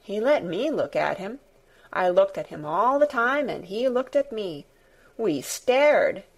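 A frank young girl from a storybook, voiced by a woman, pitched up from the narration. She is determined and curious, and speaks in a direct, matter-of-fact way. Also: big pitch swings, a little slow, muffled recording. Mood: pleased, matter-of-fact.

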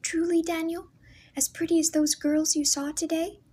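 A woman voicing a timid, gentle young girl who speaks high and breathless. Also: little pitch movement, moderate pace. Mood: shy.

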